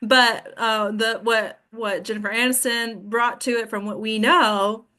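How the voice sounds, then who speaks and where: A woman's voice: fairly high pitch, steady tone, a little slow, bright, forceful tone. A woman hosting and coaching actors in a casual, instructive discussion, often checking in with short tag questions. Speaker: host and acting coach leading a discussion.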